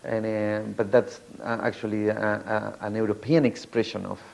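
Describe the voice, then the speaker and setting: Gravelly-voiced man. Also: lowish voice, level tone, normal speed, a little mellow. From Latin America. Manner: hesitant, many fillers and restarts. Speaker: community organizer. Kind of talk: documentary interview.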